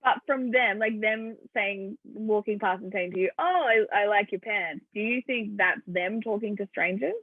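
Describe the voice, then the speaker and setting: A young woman co-hosting a podcast, chatting casually with quick, giggly reactions. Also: medium pitch, husky, no room sound. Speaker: podcast co-host. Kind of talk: casual chat. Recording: thin phone-like sound, dry.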